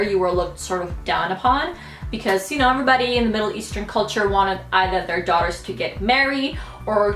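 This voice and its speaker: A young woman talks playfully to her camera, with lots of ums along the way. Her voice sits in the middle of the range, and her room echoes. Soft music plays faintly behind her. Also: mid-range voice. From United States. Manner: chatty and playful, with many fillers. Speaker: YouTuber. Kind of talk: casual talking to camera.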